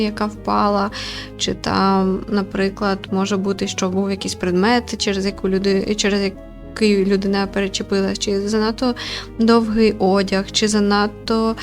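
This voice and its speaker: A woman giving casual, rambling let's-play commentary as host of a gaming video. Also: mid-range voice. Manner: chatty, rambling, explanatory. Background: background music.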